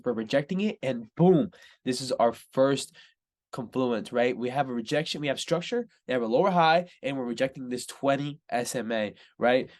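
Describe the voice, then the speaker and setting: A young man, a little congested, casually walking through a forex chart as a trading mentor, tagging "right?" onto nearly every sentence and getting excited over setups. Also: mid-range voice. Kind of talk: screen-share chart breakdown.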